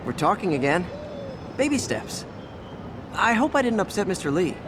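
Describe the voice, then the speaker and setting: An actor voicing an earnest young adult male hero who talks quickly and gets flustered. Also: high-pitched, very animated, background noise. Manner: quick, flustered, self-talking.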